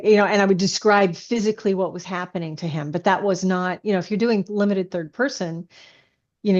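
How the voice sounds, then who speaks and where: A woman speaking as a guest author in a casual interview, explaining things in a halting, reflective way. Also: fairly low pitch, a little mellow, dull audio.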